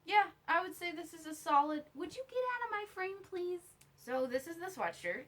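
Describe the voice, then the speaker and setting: A woman vlogger talking casually to camera in an unboxing style, slangy and informal, often thinking out loud to herself. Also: very high voice, very animated, moderate pace. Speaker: vlogger reviewing her own merch.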